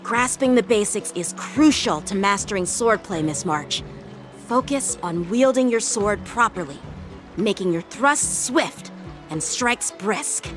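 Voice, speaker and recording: A woman's voice: mid-range voice, lively intonation, a little slow, music in the background, natural voice. A woman voicing a polite, composed young swordplay teacher in an anime-style game, formal and earnest. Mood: instructive, serious.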